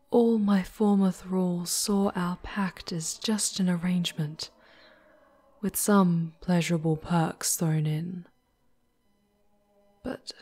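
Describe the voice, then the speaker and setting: A woman voicing an ancient, caring female demon guide in an ASMR fantasy roleplay, speaking formally and softly, with a breathy, theatrical delivery. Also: medium pitch. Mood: moved, tender. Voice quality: soft, breathy. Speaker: voice performer in audio roleplay.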